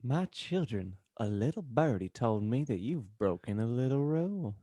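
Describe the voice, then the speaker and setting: A man's voice: mid-range voice, big pitch swings, moderate pace, mellow, dry recording. Man putting on a sanctimonious, stern reverend, close and dry. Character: sanctimonious, stern male reverend headmaster in parody fanfic. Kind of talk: comedic fan fiction reading.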